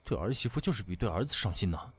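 A young man acting a cocky, teasing, hot-tempered high school boy in an audio drama, expressive and easily exasperated. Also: fast, breathy edge. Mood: teasing, grumbling. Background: background music.

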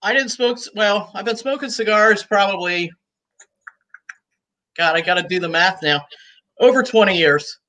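A woman in her sixties, talking as a guest on a video call from home, chatty and easygoing and quick to laugh. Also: mid-range voice, fairly bright tone. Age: 60s. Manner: talkative and easygoing, laughs often. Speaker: guest, cigar shop owner. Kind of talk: casual interview chat. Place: room at home.

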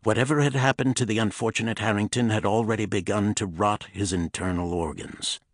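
A man narrating a pulp thriller audiobook in a dramatic, husky storytelling voice. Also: on the low side, steady tone, fairly quick, no echo, clean studio sound.

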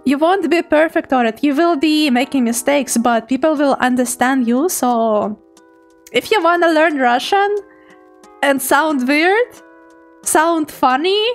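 Young woman VTuber, high-pitched, giggly and playful, casual chat with viewers at a home desk mic. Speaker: VTuber streamer. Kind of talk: casual chat reading chat messages.

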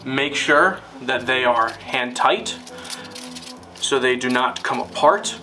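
A man narrating a scripted instructional film in a deliberate, formal, step-by-step manner. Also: mid-range voice, pushed and bright, distant mic, faint music behind, low-quality recording.

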